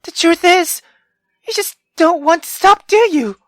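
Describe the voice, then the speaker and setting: Woman acting a high-pitched, defiant young woman. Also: unhurried, no echo. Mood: furious accusation. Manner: high, forceful, accusing. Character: defiant, anguished young woman confronting a monster. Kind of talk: dramatic visual novel read-aloud.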